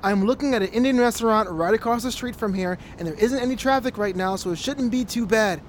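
A man's voice: high voice, quick pace, a little hiss or hum, lightly acted. An actor playing a weary, hesitant young man who tends to apologise, in an audio drama. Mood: placating. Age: young adult.

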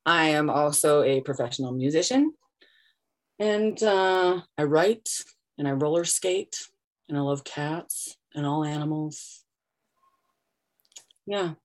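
Playful lowish-voiced woman. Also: takes it slowly, pauses often, a little mellow. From San Diego. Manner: playful, laughs easily. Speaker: vocal coach and musician. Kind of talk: casual self-introduction on a video call.

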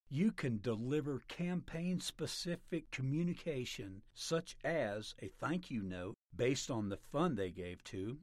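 Slow-paced man reading an article, lively pitch. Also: no echo, studio quality. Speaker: article narrator. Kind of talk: scripted narration of an article read aloud.

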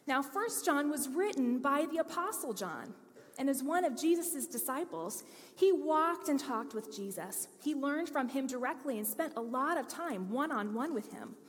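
High-voiced woman preaching in a reverberant hall. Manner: earnest storytelling preacher, lively emphasis. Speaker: pastor. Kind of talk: sermon.

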